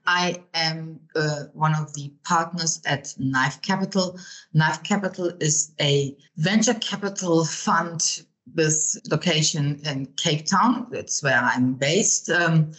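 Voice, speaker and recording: A low-pitched woman from Germany speaks with a German accent, answering as a guest in an interview. She pads her thoughtful answers with fillers and repeats words as she works through her points. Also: takes it slowly, fairly bright tone. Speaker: venture capital partner, guest.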